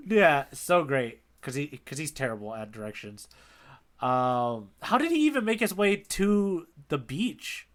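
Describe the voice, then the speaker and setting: A man's voice: on the high side, sing-song, measured pace, fairly bright tone. A man co-hosting a podcast in casual chat, enthusiastic and slangy. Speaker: podcast co-host. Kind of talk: casual chat about manga.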